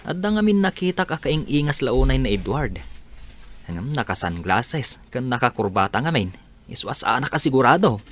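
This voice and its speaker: A man's voice: mid-range voice, big pitch swings, moderate pace, a bit bright, a little hiss or hum. A man acting as a deferential employee questioning his boss in an audio drama, with music underneath. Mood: curious.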